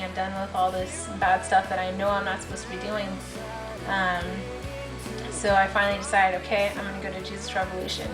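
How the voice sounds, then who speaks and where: A woman in her twenties, born in Hungary and raised in California, telling her personal testimony in a halting way, with many ums and restarts. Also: mid-range voice. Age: 20s. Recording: roomy, distant mic with music behind.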